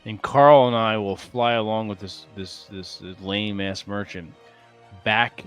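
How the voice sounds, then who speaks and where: Dude playing a tabletop rpg, lowish slow voice, dry little asides, quiet music. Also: male voice, steady tone, smooth. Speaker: player in a tabletop game. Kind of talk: casual in-character game chat.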